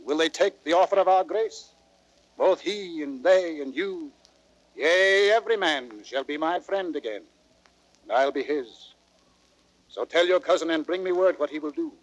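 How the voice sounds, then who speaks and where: Give the voice of an aging king played by a male actor, stern and regal, in a Shakespearean audio drama. His pitch sits a little high for a man. The delivery is measured and declamatory, recorded very dry. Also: dry recording, natural voice, poor audio. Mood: solemn, firm. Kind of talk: classical Shakespearean radio drama acting.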